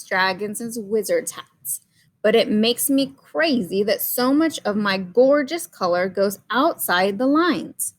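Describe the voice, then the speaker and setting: A woman voicing a neat, fussy purple crayon, insistent and exasperated, in a children's picture book read-aloud. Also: on the high side.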